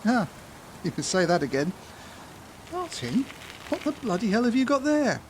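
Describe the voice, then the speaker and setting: A British actor playing a grumpy, sarcastic small-town office worker in a comedy audio drama, grumbling and swearing sardonically. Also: male voice, high-pitched, very animated, moderate pace, slight background noise, poor audio. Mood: sardonic.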